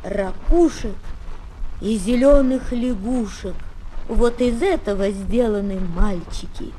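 A woman's voice: on the high side, slow, warm tone. A woman reciting verse for children on a faintly noisy vintage disc recording.

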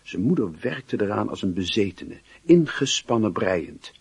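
A man's voice: on the low side, animated, unhurried, creaky, darkish tone, slightly rough, no echo. A man reading scripted literary narration for a radio drama.

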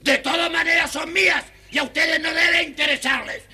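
Cranky little dwarf for a kids story record, super high scratchy voice, scolds and whines, hissy old recording. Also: male voice, steady tone, moderate pace, shouting, crowd noise. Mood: peevish complaining. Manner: whining, scolding, exclamatory. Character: grumpy, ungrateful, greedy little male dwarf. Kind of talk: children's audio storybook acting. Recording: noisy old children's record.

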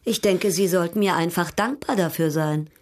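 An actress in a German radio drama playing a proud, worldly European baroness, poised, arch and coolly ironic. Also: female voice, fairly low pitch, moderate pace, close to an everyday voice. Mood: teasing.

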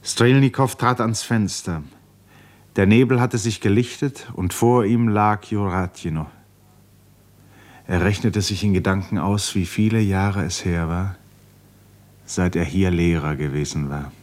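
Narrator of an old german radio play, lowish voice, composed, literary, matter of fact storytelling. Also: male voice, unhurried, darkish tone.

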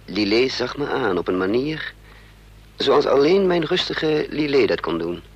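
A man's voice: mid-range voice, very animated, a little slow, husky, highs cut off. An actor playing an anxious, scheming adventurer in a radio play, muttering and hesitating as he thinks aloud. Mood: reflective narration. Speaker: protagonist and narrator of a radio play. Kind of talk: Dutch radio play acting. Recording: noisy old radio play recording, vehicle drone.

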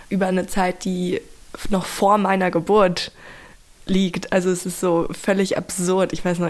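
A woman of about twenty, talking casually and searchingly in an interview, with lots of fillers. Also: mid-range voice. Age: around 20. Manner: gushing, loose and searching, heavy with fillers. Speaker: interviewee, young fan.

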